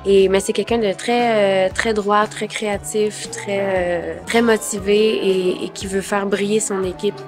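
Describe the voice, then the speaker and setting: A woman's voice: mid-range voice, a little slow, few pauses. Woman with a Quebec French accent, music behind. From Canada, Montreal. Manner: relaxed, conversational, some fillers. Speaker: singer and artistic manager. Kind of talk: interview answers.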